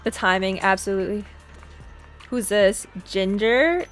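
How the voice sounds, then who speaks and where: A woman's voice: mid-range voice, a little slow, a bit bright, faint music behind. A woman livestreaming a game reaction, reading dialogue aloud and chatting with playful, teasing, slangy asides. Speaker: streamer reacting to a game story.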